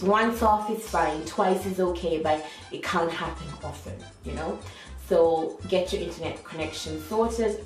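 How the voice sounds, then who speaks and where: A woman with a light Irish accent, an online English teacher giving a chatty, upbeat how-to talk that often restarts its sentences. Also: fairly low pitch. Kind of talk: casual how-to vlog. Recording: echoey room, faint music.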